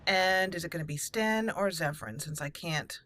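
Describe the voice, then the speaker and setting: A woman doing let's play commentary on a fantasy game, slipping into formal, deliberate in-character speech. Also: fairly low pitch, bright, forceful tone.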